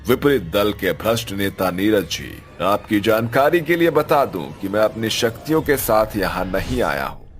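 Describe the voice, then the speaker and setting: An earnest male ghost politician acted by a man in a cartoon story. He speaks like someone making a campaign speech, in a fairly low voice over soft background music. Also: big pitch swings, normal speed, few pauses. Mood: firm, explaining. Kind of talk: Hindi cartoon story dialogue.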